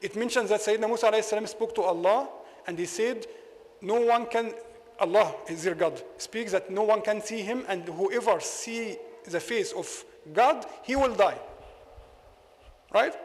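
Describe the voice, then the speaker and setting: Egyptian imam lecturing in a reverberant mosque. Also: male voice, high voice. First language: Arabic. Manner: argumentative, rhetorical questions. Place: mosque hall. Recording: long hall reverb.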